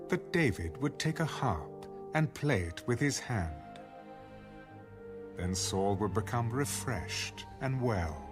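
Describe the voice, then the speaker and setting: A man narrating an audio Bible in a formal, measured storytelling style. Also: medium pitch.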